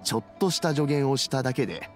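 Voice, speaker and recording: An actor playing an aging, reflective elder statesman in a Japanese game, with gravelly, measured, old-fashioned speech. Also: mid-range voice, expressive, faint music behind, natural voice. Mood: calm, explanatory. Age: older.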